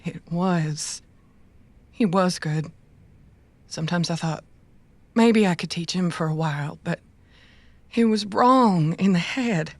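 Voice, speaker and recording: A woman playing a sorrowful schemer who justifies herself, halting and pleading, in a cyberpunk audio drama. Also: mid-range voice, no room sound. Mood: wistful, defensive.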